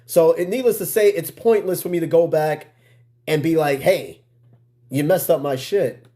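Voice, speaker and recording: A man's voice: fairly high pitch, moderate pace, mellow. An American man hosting a podcast in casual livestream chat, slangy and prone to swearing, with conversational asides to his listeners. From United States. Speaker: podcast host.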